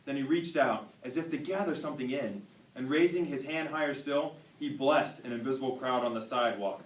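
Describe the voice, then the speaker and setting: A man giving a dramatic staged reading, part storytelling and part preaching, with deliberate, emphatic phrasing. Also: mid-range voice. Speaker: student performer reading a dramatic sermon. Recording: echoey hall, recorded from far off.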